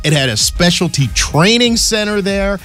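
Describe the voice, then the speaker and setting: A man's voice: high-pitched, moderate pace, soft background music. A man co-hosting a morning radio show in a radio studio, chatting casually and reading traffic. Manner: chatty, animated, reads traffic reports.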